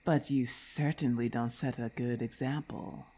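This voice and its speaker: A woman voicing a haughty, menacing female lamia in an ASMR roleplay, with a husky, breathy voice and formal, condescending diction. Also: extremely low pitch, fairly even intonation, a little slow, warm tone. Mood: scornful, predatory. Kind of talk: ASMR roleplay, breathy half-whispered acting.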